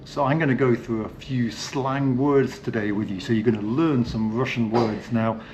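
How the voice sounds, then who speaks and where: A British man talks in a loose, chatty way, full of fillers, as he leads the viewer through a hotel and down to a sauna. His pitch sits in a middle range, and a faint hum of ambient noise runs under a handheld camera recording. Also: fairly even intonation, normal speed, dull audio. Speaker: vlog host. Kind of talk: casual walking-tour vlog. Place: indoors, hotel and sauna cellar.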